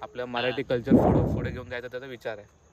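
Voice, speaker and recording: A young man chatting casually as a vlogger, teasing and often halting or repeating himself, with some noise around him. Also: medium pitch, flat delivery, dull audio. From Maharashtra, India. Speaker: vlogger making a prank call. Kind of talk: casual chat, playful vlog.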